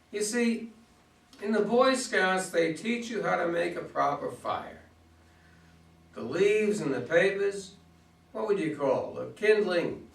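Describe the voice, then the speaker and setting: A man in a stage play reading, playing a sardonic, condescending police detective who speaks deliberately. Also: sits high, vocal fry, full character voice. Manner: deliberate, sarcastic. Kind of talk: stage play read aloud by readers' theater. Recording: echoey room, distant mic.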